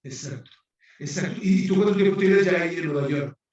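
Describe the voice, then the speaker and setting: A man's voice: a little high, brisk, a little mellow. A man, casually chatting as the interviewer and host. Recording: very echoey, distant, muffled sound.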